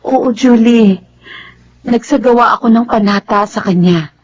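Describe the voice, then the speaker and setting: An actress playing a soft-hearted, grateful mother in a Tagalog radio drama. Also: on the high side, unhurried. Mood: grateful, reminiscing. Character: grateful, soft-hearted widowed mother remarried for her children.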